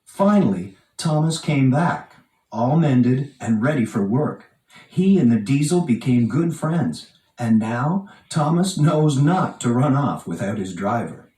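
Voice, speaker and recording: A man reading a children's storybook aloud with expressive, dramatic emphasis. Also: mid-range voice, unhurried. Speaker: storybook narrator. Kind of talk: animated read-aloud children's storybook narration. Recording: roomy, echoing, distant from mic.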